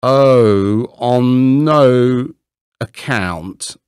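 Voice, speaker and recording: Man voicing a timid little man, earnest, meek, slow, storybook reading. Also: lowish voice, expressive, dry recording, clean studio sound, voice pushed up. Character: shy, timid, hardworking little man Hans.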